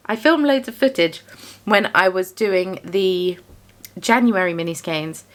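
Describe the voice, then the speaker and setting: A woman's voice: mid-range voice, unhurried. A young woman talking through her craft work in a rambling, self-deprecating, chatty way. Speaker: yarn dyer and small business owner. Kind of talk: casual chatty vlog voice-over. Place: home craft studio.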